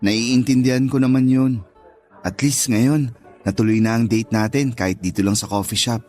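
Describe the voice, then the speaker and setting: A man's voice: medium pitch, fairly even intonation, normal speed, darkish tone, natural voice. A man acts as a young boyfriend in a Tagalog radio drama. He is affectionate and understanding, with a mid-range voice over faint music. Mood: sweet, teasing.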